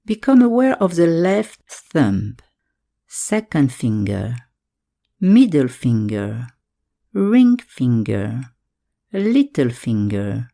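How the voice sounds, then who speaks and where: Slow, mellow woman guiding meditation. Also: very deep voice, gravelly. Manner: calm, measured instruction with pauses between phrases. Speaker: meditation guide. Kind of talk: guided yoga nidra meditation.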